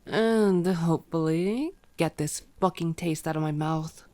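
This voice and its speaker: A woman's voice: low voice, unhurried, dark tone. A woman playing a sardonic, teasing vampire in an ASMR roleplay, speaking with exasperated sarcasm and casual swearing. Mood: weary, restless. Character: sardonic, teasing female vampire, wounded and grumbling.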